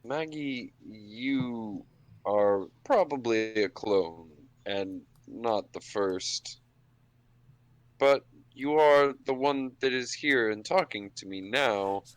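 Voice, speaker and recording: A man improvising in character as a player in a tabletop roleplaying game, speaking in measured, deliberate short phrases. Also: medium pitch, no room sound.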